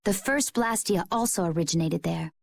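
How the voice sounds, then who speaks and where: An actress playing a composed young woman adventurer in a video game, with measured, polished and subtly teasing delivery. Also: fairly low pitch, gravelly, dry recording. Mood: calm. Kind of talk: video game cutscene acting.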